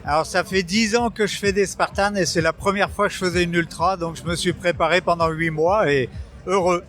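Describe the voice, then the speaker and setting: A man's voice: sits high, steady tone, fast talker, fairly bright tone. A man, a race athlete, giving terse, clipped interview answers.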